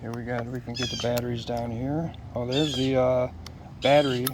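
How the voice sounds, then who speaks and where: A man with a mid-pitched voice does a casual, unscripted unboxing narration. He talks haltingly, as if thinking out loud to himself, and clear background noise runs under his voice. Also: little pitch movement. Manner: halting, musing aloud to himself. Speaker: product unboxer.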